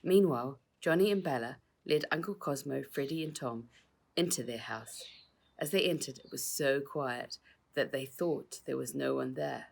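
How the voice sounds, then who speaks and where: Female author-narrator for children's adventure fiction, expressive reading, noticeably creaky voice. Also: extremely low pitch, normal speed.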